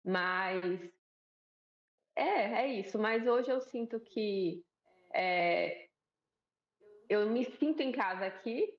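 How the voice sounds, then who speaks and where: A woman's voice: mid-range voice, drawn-out words, pauses often. Chatty Northeastern Brazilian woman, lo-fi sound. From Northeast Brazil, Alagoas. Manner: warm, chatty, many tag questions. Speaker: guest, missionary and pastor's wife. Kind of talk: conversational TV interview.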